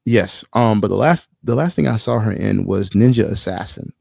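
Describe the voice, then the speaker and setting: A man's voice: fairly low pitch, normal speed, vocal fry, darkish tone, no room sound, muffled recording. A man co-hosting a casual podcast chat about movies, speaking frankly in informal slang with some swearing. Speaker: podcast co-host.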